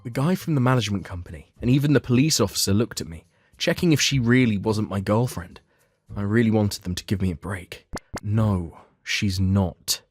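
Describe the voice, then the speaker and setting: A man's voice: on the low side, normal speed. A man reading scripted first-person story narration for a manga dub, as the narrator and lead character. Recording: music behind the voice.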